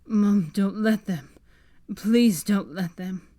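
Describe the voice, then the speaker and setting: A woman's voice: fairly low pitch, slow pace, a little mellow, husky. A woman voicing a feverish, dying young man who slurs and whispers in broken phrases. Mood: delirious. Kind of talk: audiobook fan fiction reading.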